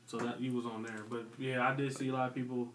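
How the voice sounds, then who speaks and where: Man, podcast host, loose joking questions, mid-pitched voice, distant from mic. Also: flat delivery, fast talker. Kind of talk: casual interview chat. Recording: echoey room, voice off-mic, faint background noise.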